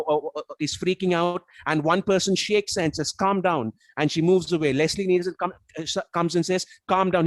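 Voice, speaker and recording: A man's voice: a little high, no room sound. A man whose first language is Tamil, speaking as a guest in a casual analytical discussion, building careful arguments with frequent repeats and restarts. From South Asia, Tamil-speaking region. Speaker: guest reviewer on a book discussion.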